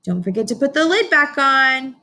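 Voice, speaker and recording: Animated woman reading aloud to young children. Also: high voice, normal speed. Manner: expressive, questioning, addresses young listeners directly. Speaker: teacher reading a picture book aloud. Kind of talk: informational read-aloud lesson for young children.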